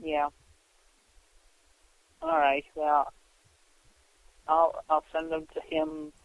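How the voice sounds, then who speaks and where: A man's voice: a little high, monotone, very slow, dry recording. A man on a phone call giving short, defensive replies, hesitantly repeating the starts of his phrases. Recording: tinny narrowband phone line.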